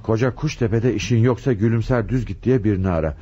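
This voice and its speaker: A man's voice: fairly low pitch, quick pace, dark tone, slightly rough. A man acting an impatient, commanding police chief inspector in a radio drama, urgently giving short, repeated orders. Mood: exasperated, commanding. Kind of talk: Turkish radio theatre acting. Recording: dull, lo-fi radio recording.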